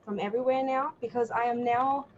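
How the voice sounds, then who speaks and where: Aussie woman on a podcast call, high-ish voice, gushing, muffled noisy line. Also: steady tone, fairly quick. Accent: Australian. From Melbourne, Australia. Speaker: podcast guest, cosplay artist. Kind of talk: casual chat. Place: remote online call.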